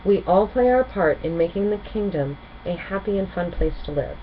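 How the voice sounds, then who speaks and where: A woman voicing a gentle, confident and reassuring pony princess in a children's storybook reading. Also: fairly low pitch, animated, moderate pace, phone-quality sound, a little hiss or hum, natural voice. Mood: kind, reassuring.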